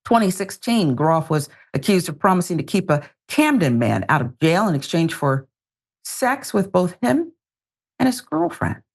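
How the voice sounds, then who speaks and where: A woman presents news commentary in a lowish, gravelly voice. She reads reports deliberately and emphatically, slipping in pointed asides as the host. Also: big pitch swings.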